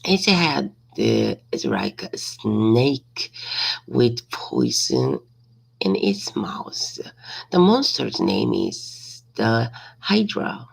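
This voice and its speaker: Halting, gravelly low-voiced woman reading aloud. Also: wildly swooping pitch, low-quality recording. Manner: hesitant, repeats and corrects words. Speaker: language learner practising reading aloud. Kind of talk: halting read-aloud of a simple storybook.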